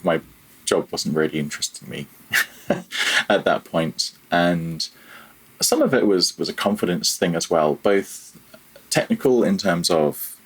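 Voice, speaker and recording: Man, very low husky voice, casual podcast guest, reflective, self-deprecating. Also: animated, a little slow, long pauses, poor audio. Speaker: podcast guest, freelance audio programmer. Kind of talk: casual interview chat.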